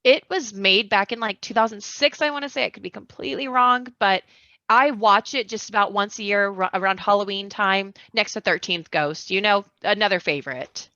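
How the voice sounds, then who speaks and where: A woman with a bright, forward voice chats casually as a podcast guest. Her microphone is a little dull and lo-fi, with no room echo. She sounds informal and enthusiastic. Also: mid-range voice, normal speed.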